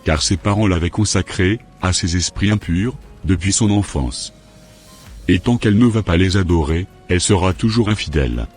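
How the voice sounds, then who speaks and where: A man voicing a menacing, domineering spiritual master in an amateur cartoon dub, speaking in a commanding, deliberate way. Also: sits low, faint music behind, close to an everyday voice. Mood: solemn, knowing. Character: menacing, domineering male spiritual master, a sinister occult healer.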